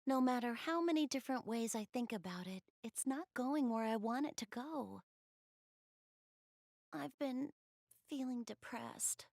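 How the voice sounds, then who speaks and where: A woman's voice: on the high side, darkish tone, dry recording, studio quality. A woman voicing a shy, bookish young goddess in a video game, speaking hesitantly and earnestly. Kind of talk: video game character voice acting, intimate one-to-one dialogue.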